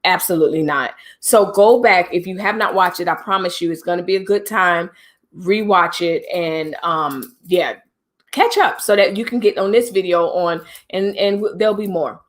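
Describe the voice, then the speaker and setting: A woman's voice: on the low side, moderate pace. A middle-aged woman hosting a livestream, talking casually and directly to her viewers with preachy asides. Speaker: livestream host.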